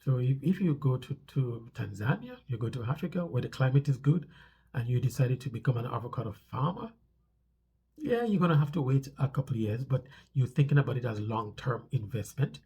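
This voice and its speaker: Husky, stuffy-nosed man, mellow voice. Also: mid-range voice, animated, a little fast. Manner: conversational, repeats phrases for emphasis. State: stuffy nose from a cold. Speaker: vlog host. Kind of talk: casual explanatory talk to viewers.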